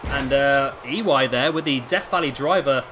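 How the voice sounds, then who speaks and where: A hoarse man with a sore throat giving casual play-by-play commentary as host of a wrestling game video. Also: mid-range voice, moderate pace, a bit bright. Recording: clearly noisy home recording.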